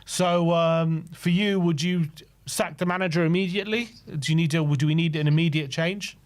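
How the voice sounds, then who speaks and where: A man's voice: fairly high pitch, steady tone, normal speed. A man hosting a fan phone-in show, chatting casually with callers and asking loose, stumbling follow-up questions. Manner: easygoing, probing questions with stumbles and fillers. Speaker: host.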